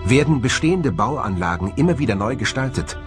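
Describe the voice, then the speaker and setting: A man delivering scripted documentary narration in a measured, dramatic way, as the presenter of the film. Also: medium pitch, sing-song, over music.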